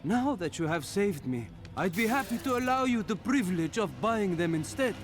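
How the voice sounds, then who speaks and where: An actor playing a greedy, ingratiating merchant in a fantasy game, with an oily, pleading manner. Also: male voice, high-pitched, big pitch swings, moderate pace. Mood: grateful, wheedling. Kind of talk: fantasy video game voice acting. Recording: music underneath. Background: music.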